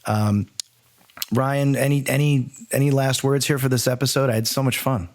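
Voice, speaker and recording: A man's voice: mid-range voice, fairly even intonation, normal speed. A man hosting a podcast in casual banter, talking in a wordy, playfully mock-formal way. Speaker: podcast host.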